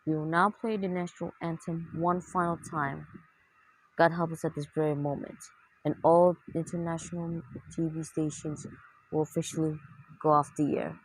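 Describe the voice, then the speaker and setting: A synthetic, husky voice reading a scripted emergency broadcast announcement in a formal, unvaried manner. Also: mid-range voice, moderate pace, slight background noise, low-quality recording. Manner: flat, formal, synthetic delivery.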